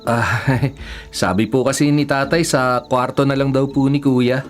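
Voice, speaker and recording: A man's voice: mid-range voice, fairly even intonation, a little slow, faint music behind, natural voice. A man playing a young adult nephew in a Filipino radio drama, politely deferential but privately exasperated. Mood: restrained politeness. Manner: deferential aloud, sardonic in asides.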